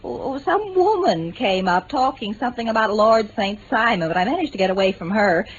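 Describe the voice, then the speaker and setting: A woman's voice: fairly high pitch, expressive, normal speed, breathy edge. A woman playing a frank, impulsive young American bride in vintage radio drama, speaking earnestly and a little breathlessly, with an American accent. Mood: eager, confiding. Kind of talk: 1950s-60s BBC radio drama acting. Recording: dull vintage radio recording, faint noise.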